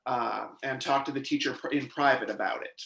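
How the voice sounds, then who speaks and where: A man giving steady, measured first-person voice interpretation of a deaf witness's testimony at a legislative hearing. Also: mid-range voice, vocal fry, reverberant, slight background noise, poor audio. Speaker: sign language interpreter voicing a deaf witness. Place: hearing room.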